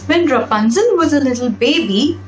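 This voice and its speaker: A woman's voice: high voice, lively intonation, normal speed. A woman reading a children's story aloud, adding simple explanations and checking in with young listeners through questions. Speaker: storybook reader and narrator for children. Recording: roomy echo with background music.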